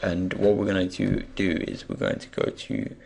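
A man with a croaky, just-woken voice gives an unscripted software tutorial, hesitantly thinking aloud as he goes. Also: sits low, big pitch swings, normal speed. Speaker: tutorial presenter. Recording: faint background noise, keyboard and mouse clicks.

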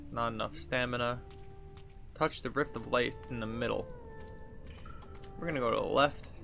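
A man in his thirties with a bright, gravelly voice talks through a video game. His commentary is casual and rambling, as if thinking aloud, with faint music behind him. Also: medium pitch, fairly even intonation, normal speed. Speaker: gaming video host. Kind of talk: casual let's play commentary. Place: indoors at a computer desk.

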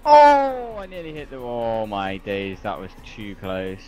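A man co-hosting a game stream, reacting excitedly to races and teasing his partner. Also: mid-range voice, measured pace, highs cut off, soft background music. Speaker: co-host and player. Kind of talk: casual chat while gaming.

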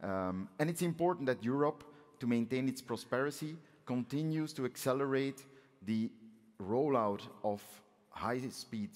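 A man in his forties giving a measured, explanatory keynote speech at a conference, as the president of an organisation. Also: mid-range voice. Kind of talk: prepared conference speech with slides. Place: on stage in a large conference auditorium. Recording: reverberant large hall sound.

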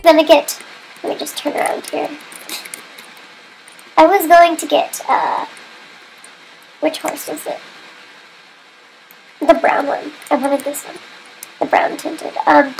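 Female gamer, stuffy-nosed and sniffly, high voice with vocal fry, chatty and self-mocking. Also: steady tone, unhurried, long pauses, slight background noise, rough recording. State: stuffy nose, getting sick. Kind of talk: casual gameplay commentary.

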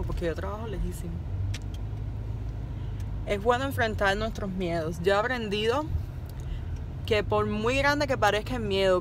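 A young woman from Cuba with a Cuban Spanish accent, chatting casually to her phone camera while driving, rambling and upbeat. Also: medium pitch. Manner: rambling, upbeat and encouraging, laughs at herself. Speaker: vlogger. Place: inside a car, parked then driving at dusk. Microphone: phone camera held at arm's length. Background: faint car and road noise.

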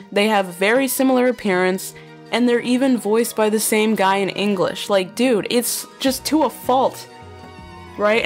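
A young woman chatting casually while answering fan questions, filling her talk with "like" and "bro", her voice slightly nasal. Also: medium pitch. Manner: chatty, many fillers like "like" and "bro". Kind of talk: casual chat.

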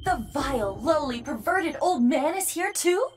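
Cheeky, lively AI sidekick. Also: female voice, sits high, unhurried, a little hiss or hum. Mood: mocking. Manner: mocking, playful. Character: cheeky, teasing female artificial intelligence sidekick. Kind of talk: dubbed anime-style video game acting. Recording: music behind the voice.

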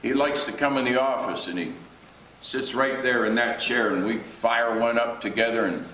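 A man's voice: mid-range voice, moderate pace, lightly acted. An older actor with an American accent playing a folksy, wisecracking old team owner in a one-man stage play. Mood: fond reminiscing. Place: theater stage before an audience. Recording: echoey theater, distant mic, loud noise.

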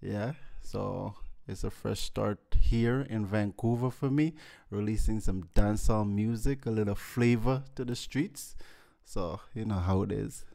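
A man's voice: lowish voice, unhurried, vocal fry, few pauses, dark tone. A young man from Jamaica with a Jamaican accent, chatting casually as a guest musician on a podcast, peppering his talk with "you know". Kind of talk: casual interview. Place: living room with mirrored wall decor. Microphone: studio mic on boom arm.

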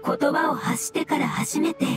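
A woman's voice: high-pitched, normal speed, over loud music, natural voice. A woman voicing a courteous, scholarly mushroom creature in a game, speaking formally as if explaining. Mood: polite, earnest. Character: polite, scholarly floating mushroom creature of an ancient race. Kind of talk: Japanese game voice acting, measured dialogue.

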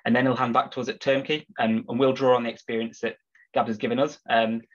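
A man hosting a business webinar, sounding husky from a cold, relaxed with frequent filler words. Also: mid-range voice, quick pace. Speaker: webinar host. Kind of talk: corporate webinar presentation. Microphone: video call. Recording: slightly dull video call audio.

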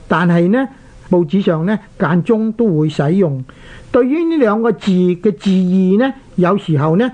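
Radio host, Hong Kong Cantonese accent, didactic, deliberate explanations, medium pitch, muffled lo-fi recording. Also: a little mellow. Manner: didactic, deliberate, spelling out characters. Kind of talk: explanatory talk on Chinese characters.

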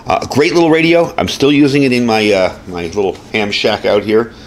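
A man's voice: fairly low pitch, fairly quick, a little hiss or hum. A man giving a casual, folksy product review and demonstration, explaining things informally as he goes. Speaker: host, gear reviewer. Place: indoors at a desk or workbench.

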